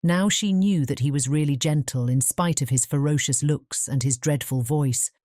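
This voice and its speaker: A woman narrating a fairy tale audiobook in a measured bedtime storytelling manner. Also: low voice, dark tone, no echo, clean studio sound.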